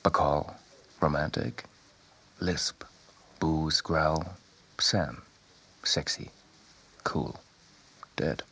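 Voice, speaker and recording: Very deep, gravelly male narrator. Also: steady tone, extremely slow, pauses often, sharp tone. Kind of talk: literary radio reading.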